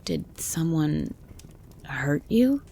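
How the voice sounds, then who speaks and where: A woman playing a gruff, wary cabin dweller, blunt and grumpy, in a horror audio roleplay. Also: low-pitched, huge pitch swings, drawn-out words, dark tone, slight background noise, full character voice. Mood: guarded concern. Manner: blunt, grumpy, foul-mouthed.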